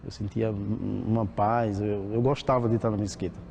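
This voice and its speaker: A man giving a halting, reflective testimony as an interviewee. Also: lowish voice, flat delivery, creaky, a little mellow, a little hiss or hum. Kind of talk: recorded testimony.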